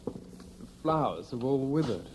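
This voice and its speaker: An actor playing a distraught, indignant young gentleman in mourning, protesting formally in an audio drama. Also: medium pitch, lively intonation, measured pace, a little hiss or hum, lightly acted. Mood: appalled, subdued.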